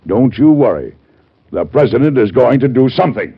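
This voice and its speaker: An actor playing a steadfast older president in an old-time radio drama, measured, paternal and resolute. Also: male voice, mid-range voice, sing-song, vocal fry, breathy edge, full character voice. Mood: firm, resolute. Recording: muffled old radio broadcast.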